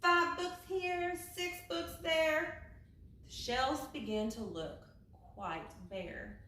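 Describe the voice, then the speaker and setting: A woman reading a children's picture book aloud like a teacher at storytime, with dramatic hushed and raised phrases. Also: high-pitched, takes it slowly, slight background noise. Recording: echoey room, distant from the mic.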